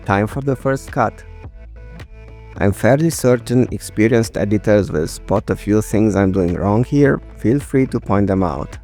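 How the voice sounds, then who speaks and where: A man in his thirties or forties presenting a scripted explainer in a measured, precise way. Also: on the low side, mellow. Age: 30s to 40s. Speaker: video presenter. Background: music.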